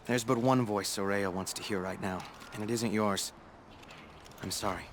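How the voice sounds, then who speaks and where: An actor playing an earnest, humble apprentice shaman in a video game, speaking in a measured, solemn and formal way. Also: fairly low pitch, steady tone, slight background noise, close to an everyday voice. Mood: guarded, firm. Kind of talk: video game cutscene acting.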